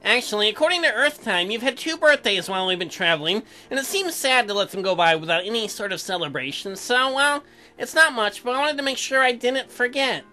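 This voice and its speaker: A woman's voice: a little high, animated, sharp tone. A woman voicing an earnest, apologetic young newlywed husband who is sweet on his wife, reading visual novel dialogue. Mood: cheerful, eager. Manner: earnest, halting, self-deprecating. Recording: music behind the voice.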